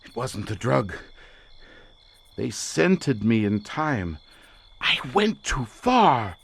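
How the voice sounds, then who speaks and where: Intense, entranced visionary researcher, widely swooping voice. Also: male voice, medium pitch, big pitch swings, gravelly, husky, exaggerated voice. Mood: shaken, drained. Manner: theatrical, incantatory, halting with repeated words. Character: obsessed male researcher in a drugged, visionary trance. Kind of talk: dramatic audio horror acting.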